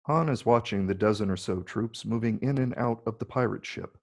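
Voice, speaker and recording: Low, gravelly male narrator. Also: brisk. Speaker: narrator reading stage directions. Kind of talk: scripted narration. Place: virtual read, recorded remotely.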